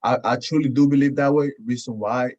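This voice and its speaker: A man's voice: medium pitch, fairly even intonation, fairly quick, a little mellow. A man hosting a podcast in casual chat, often repeating his phrases. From Houston area, United States. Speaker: podcast host. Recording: dull, lo-fi audio.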